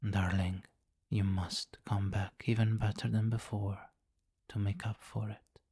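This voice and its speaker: A man playing a possessive, teasing vampire king in an intimate ASMR roleplay, commanding and breathy, often slipping into whispers on a close mic. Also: fairly low pitch, level tone, measured pace, dark tone, no echo. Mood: satisfied, teasing. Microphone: close microphone, dry.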